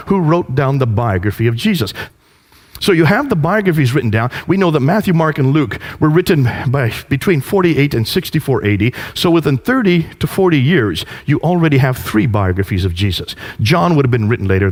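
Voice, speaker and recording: A man preaching with a lively, swooping pitch and emphatic rhetorical questions. His voice is a little husky, and it rings out with a long hall reverb. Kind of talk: preaching lecture.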